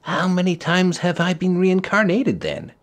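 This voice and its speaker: A man's voice: high voice, huge pitch swings, unhurried, pitched above the performer's own voice, heavily acted. A man voicing a bewildered, anxious middle-aged man who has newly died, with a hesitant, questioning manner, in a storybook reading. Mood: puzzled, questioning. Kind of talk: storybook character voice.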